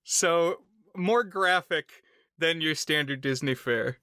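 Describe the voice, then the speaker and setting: Podcast co-host with a bright, clean voice on a dry close mic, wry and easygoing. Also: male voice, a little high, takes it slowly, clean tone, dry recording. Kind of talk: casual chat, recapping a film.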